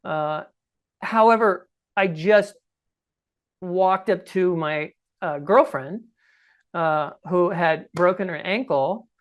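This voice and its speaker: A man's voice: high-pitched, slow pace. A man in his sixties with a scratchy voice, telling his own story conversationally and emphatically as an interview guest. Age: 60s. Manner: emphatic, often checks in with "okay?" and "right?". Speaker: podcast guest recounting personal experience. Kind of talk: conversational first-person storytelling.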